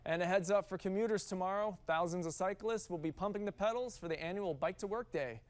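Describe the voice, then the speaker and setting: A man's voice: high voice, fast. A man with an American accent reading scripted television news as an anchor.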